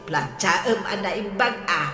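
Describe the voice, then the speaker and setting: A woman's voice: medium pitch, lively intonation, a little slow, pushed and bright, faint music behind. An actress playing a chatty village woman fussing over family matters in an Ilocano audio drama. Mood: cheerful, fussing. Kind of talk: Ilocano radio drama acting. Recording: echoey hall-like reverb.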